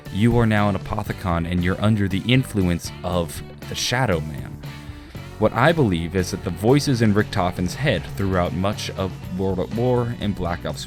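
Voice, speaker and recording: A man giving a scripted explainer narration about video games, in an emphatic, explanatory way. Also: low-pitched. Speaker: video game lore YouTuber. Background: guitar music.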